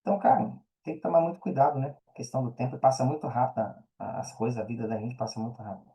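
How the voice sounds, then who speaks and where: A man's voice: medium pitch, racing, highs cut off. A Brazilian man speaking casually and earnestly as a podcast guest, in colloquial phrasing, often repeating phrases for emphasis. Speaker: podcast guest, affiliate marketer and mentor.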